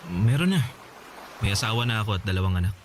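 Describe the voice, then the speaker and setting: A man playing a mildly hurt but forgiving former boyfriend in a Tagalog radio drama, talking in a calm, reflective, conversational way. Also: lowish voice, fast, noisy background, lightly acted. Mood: reflective, earnest. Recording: music bed behind the voice.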